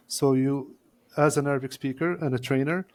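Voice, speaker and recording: A man who works as a court interpreter, giving long explanatory answers as a guest. He speaks in a measured way with frequent pauses. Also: mid-range voice, steady tone.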